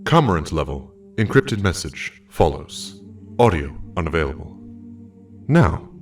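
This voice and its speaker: A man's voice: deep voice, sing-song, a little slow, far from the mic, soft background music, voice pushed down, heavily acted. A man voicing a cold, formal message system that makes clipped official announcements in a game lore reading.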